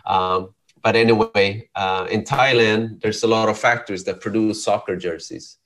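Rambling low-voiced man from Sweden. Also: normal speed. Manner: relaxed, rambling, frequent ums and restarts. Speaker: podcast guest. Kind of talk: casual storytelling interview.

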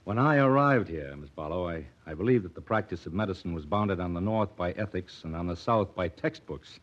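A man's voice: low voice, animated, fairly quick. An actor playing an earnest, awkward young male doctor in a vintage radio drama, with a hesitant, formally polite delivery. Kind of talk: 1940s radio drama acting. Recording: dull, muffled vintage radio recording.

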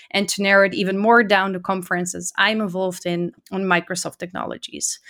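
A woman's voice: medium pitch, fairly bright tone. Upbeat woman guest on a podcast. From Netherlands. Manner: enthusiastic, often adding "right?" and "uh". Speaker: podcast guest, community organizer. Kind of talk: conversational interview.

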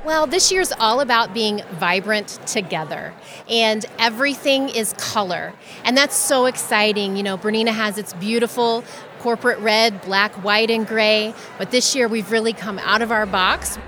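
A woman's voice: a little high, normal speed, fairly bright tone, a little hiss or hum. Enthusiastic woman organizer, bubbly. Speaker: event organizer. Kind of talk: interview answers and stage presentation.

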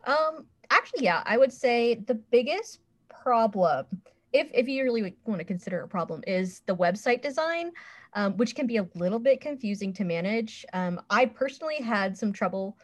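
A woman's voice: on the high side, expressive, normal speed. A woman chatting casually as a podcast panelist, with a frank, playfully bawdy way of talking. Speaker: podcast panelist reviewing a website. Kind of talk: casual review chat.

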